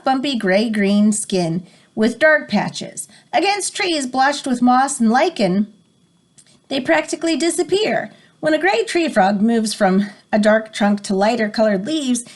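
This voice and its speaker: A woman reading an educational picture book aloud as a teacher, explaining and prompting listeners as she goes. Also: high-pitched. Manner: explanatory, stops to define words and prompt listeners.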